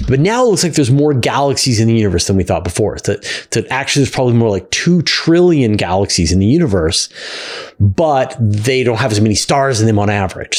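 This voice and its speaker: Middle-aged man, mid-range pitch, science show host, casual explainer, conversational, emphasizes key words. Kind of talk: casual explainer answering audience questions.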